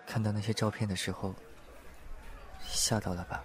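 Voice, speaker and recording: Man acting a low-voiced, wistful young man. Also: little pitch movement, lightly acted. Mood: resigned, candid. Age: young adult. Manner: soft-spoken, measured, pausing between short phrases. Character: gentle, wistful young man, reserved and candid. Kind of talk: Chinese audio drama acting, confessional. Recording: music and crowd chatter behind voice. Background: music and crowd.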